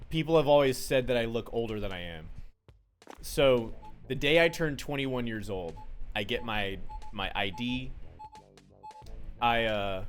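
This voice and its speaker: Deep-voiced young man telling rambling stories. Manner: rambling storyteller, swears freely. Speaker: bartender. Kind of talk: casual chat while playing a game.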